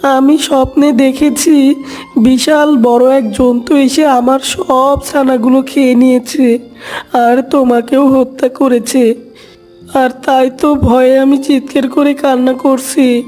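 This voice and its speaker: A woman's voice: high-pitched, little pitch movement, slow pace, dark tone, soft background music. A woman voicing a fretful, earnest mother duck in a cartoon, protective of her young.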